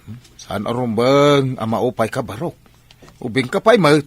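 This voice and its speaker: Man acting an animated, chiding father. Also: mid-range voice, normal speed, husky. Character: father gently lecturing his young son. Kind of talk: Filipino radio-style drama acting.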